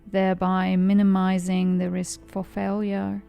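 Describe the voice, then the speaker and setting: A woman narrating a guided meditation in a calm, soothing, measured way. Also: mid-range voice, creaky, smooth, lo-fi sound. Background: background music.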